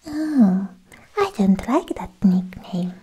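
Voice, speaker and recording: A very breathy, whispering alien girlfriend, played by a young woman, soft and mellow in tone. She coos extremely slowly and close to the mic, lovestruck and possessive, in an intimate ASMR roleplay style. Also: mid-range voice, big pitch swings, exaggerated voice. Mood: adoring, cooing. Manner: slow, cooing, doting. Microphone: close ASMR microphone.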